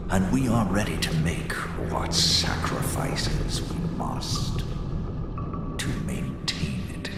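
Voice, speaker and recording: An actor playing an ancient, menacing wolf guardian spirit in a video game, solemn and judgmental, with a breathy rasp. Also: male voice, a bass voice, exaggerated voice. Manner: slow, solemn, accusatory. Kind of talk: scripted video game acting. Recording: echoing voice amid loud ambient noise.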